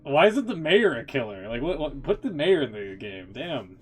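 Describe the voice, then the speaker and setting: A man's voice: on the high side, big pitch swings, moderate pace, breathy edge, faint music behind. A man giving casual live stream commentary as he reacts, informal and rambling with some mild swearing. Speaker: game streamer reacting to cutscenes.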